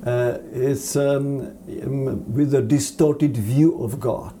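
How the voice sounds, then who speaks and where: A man with a Czech accent answering interview questions on theology in a halting, earnest, preacherly manner. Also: medium pitch, steady tone, dark tone, a little hiss or hum. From Czech Republic. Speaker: seminary dean, interview guest.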